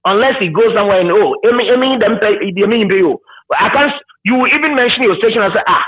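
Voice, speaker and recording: Male radio presenter as guest, Ghanaian accent, high voice, emphatic repetitions, tinny telephone-quality audio. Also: lively intonation, fast, breathy edge. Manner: emphatic, repeats words, hesitant searching starts. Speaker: radio presenter being interviewed. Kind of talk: reminiscing in conversation. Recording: tinny phone-like line.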